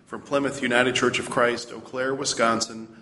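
Bright-voiced male pastor, very reverberant. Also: medium pitch, little pitch movement, normal speed. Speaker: pastor, podcast host. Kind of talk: scripted podcast outro. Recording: echoey reverberant room, background noise.

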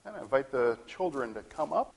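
A man leading worship with formal, measured liturgical prayer, his voice reverberating in a large hall. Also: medium pitch, big pitch swings, creaky. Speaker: worship leader.

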